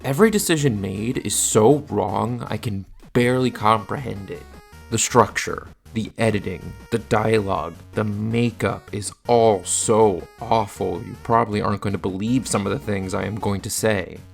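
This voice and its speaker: A man's voice: lowish voice, moderate pace, creaky, soft background music. A man narrating a scripted video review with casual asides, in a blunt, exasperated manner.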